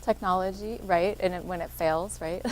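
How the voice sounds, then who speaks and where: A woman with a soft voice, a social worker giving an interactive workshop talk and encouraging answers from the audience. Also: on the low side, fairly even intonation, moderate pace, slight background noise, poor audio.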